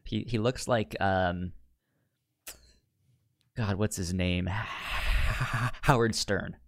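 A man's voice: fairly low pitch, very slow, no room sound, clean studio sound. Man with a stutter, chatting casually. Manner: stuttering, repeating sounds and words. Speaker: podcast co-host. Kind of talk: casual chat about TV sitcoms.

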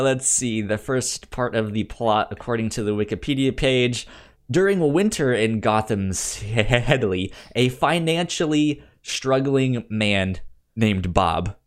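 A man co-hosting a podcast in a casual chat, speaking haltingly and stammering over words as he presents ideas. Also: medium pitch. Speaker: podcast co-host. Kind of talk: casual comics pitch chat.

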